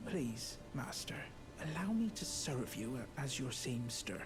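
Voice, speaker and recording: A woman's voice: a bass voice, very animated, music in the background, exaggerated voice. A woman playing a timid, eager-to-please demi-human seamster in a video game, speaking in a hesitant, deferential way. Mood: meek, pleading. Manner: hesitant, deferential, halting pleading. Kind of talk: video game character dialogue.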